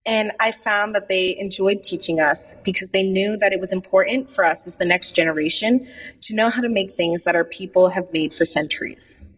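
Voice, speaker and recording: A young woman giving a heartfelt prepared speech in a measured way. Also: mid-range voice, slightly rough, far from the mic. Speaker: outgoing Lucia court member. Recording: echoey hall, heard from afar.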